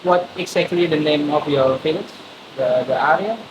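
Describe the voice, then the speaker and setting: A man's voice: a little high, normal speed. A man hosting a casual podcast interview who asks questions in a playful, jokey way. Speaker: podcast host. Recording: echoey room with hiss.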